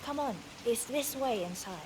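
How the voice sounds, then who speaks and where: A woman playing a composed, businesslike guide at a secretive agency in a game, speaking in a formal, measured, official way. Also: sits high, expressive, background noise. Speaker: facility guide. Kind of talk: scripted game dialogue.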